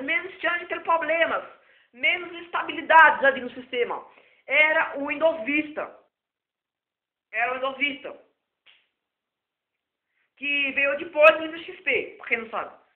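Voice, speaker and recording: A hoarse man with a very high voice rambles through an improvised computer lesson, circling back over his own points. The recording is thin and phone-like, in a roomy space with lots of echo. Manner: rambling and repetitive. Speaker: self-taught computer tutor. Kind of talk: improvised spoken tech lesson.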